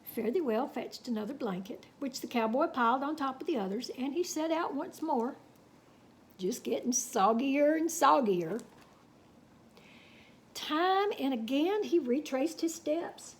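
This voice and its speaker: Very animated, high-voiced woman storyteller. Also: unhurried. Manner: animated, folksy, dramatic. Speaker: children's story reader. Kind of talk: expressive storybook read-aloud with folksy asides.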